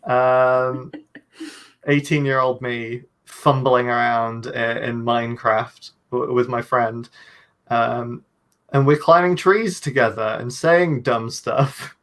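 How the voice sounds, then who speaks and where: A man's voice: mid-range voice, fairly even intonation, slow, fairly bright tone. Rambling man with a nasal voice. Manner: rambling, stumbling restarts, self-deprecating. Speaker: video creator. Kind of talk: casual online panel chat.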